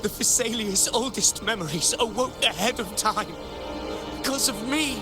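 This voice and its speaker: An actor playing a fallen gladiator turned grandiose, anguished mad king in a video game, speaking in a theatrical, declamatory way. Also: high voice. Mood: anguished regret. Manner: theatrical, declamatory, slow and brooding. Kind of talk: dramatic video game voice acting. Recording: loud music over the voice. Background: loud game music.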